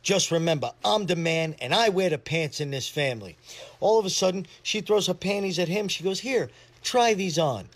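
A man with a slightly high voice tells a rehearsed joke as a short story. He narrates plainly in the present tense and acts out the quoted back-and-forth. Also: expressive, moderate pace.

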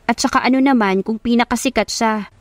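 A woman's voice: fairly high pitch, fairly quick, dry recording, natural voice. A woman with a Filipino accent voicing a young working woman in an audio romance, easily flustered, defensive and sassy. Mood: sassy, dismissive.

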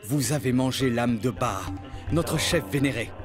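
An actor playing an eccentric, polite middle-aged ex-banker turned forest hermit in a cartoon, speaking formally and sententiously. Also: male voice, mid-range voice, a little slow, close to an everyday voice. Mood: courteous. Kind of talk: animated series dialogue. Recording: music behind the voice.

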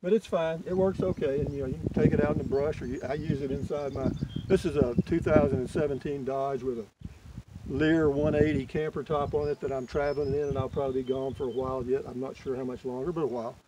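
A man's voice: medium pitch, steady tone, fast talker. An older man casually demonstrating camping gear beside his truck outdoors, rambling and folksy, correcting himself as he goes. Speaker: vlogger reviewing gear. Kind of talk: casual product demo. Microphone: camera close up on tailgate. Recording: outdoor recording with clear background noise.

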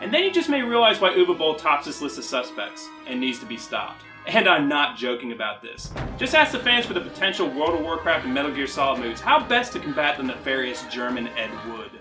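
Animated man delivering scripted game-movie commentary. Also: on the high side, a little fast, few pauses, music in the background. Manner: sardonic, emphatic, opinionated. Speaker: video game movie critic, show host. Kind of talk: scripted video essay commentary. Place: small furnished bedroom, indoors.

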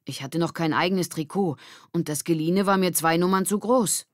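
Lockerer, vertraulicher Junge von einer Frau gespielt. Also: female voice, lowish voice, normal speed, no echo, studio quality, natural voice. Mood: confiding, amused. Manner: casual, confiding. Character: boy confiding embarrassing sports story to friend.

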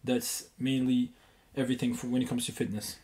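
Brief: male caller from Morocco, French native speaker, mellow warm tone, confident and explanatory. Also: medium pitch, level tone, brisk. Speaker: guest caller. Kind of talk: casual phone interview.

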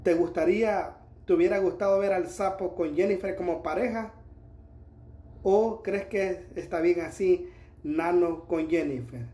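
A man's voice: high voice, measured pace, dark tone. A man narrating a promotional video channel intro. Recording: echoey voice-over.

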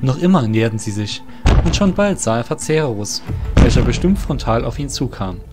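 A man's voice: mid-range voice, animated, brisk. A man narrating a fantasy audio drama in a scripted storytelling style. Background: faint music.

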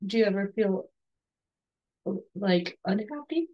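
A woman in her forties, slightly hoarse, gently interviewing her son at home on a video call with soft, encouraging questions and restarts. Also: medium pitch, animated, slow, dull audio. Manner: soft, encouraging, with frequent restarts and light laughs. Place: home room with bookshelves.

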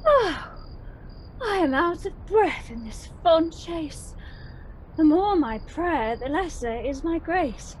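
A woman's voice: very high-pitched, lively intonation, takes it slowly, a little hiss or hum, exaggerated voice, poor audio. A woman acting a lovesick, self-pitying young noblewoman in a theatrical Shakespearean radio play. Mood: breathless self-pity. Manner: theatrical, indignant, swooping.

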